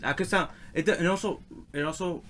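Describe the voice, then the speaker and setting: Loud, excitable young New man. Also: on the high side, fairly quick, slight background noise. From New York. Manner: loud, excitable, swears a lot. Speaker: podcast co-host. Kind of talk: casual chat.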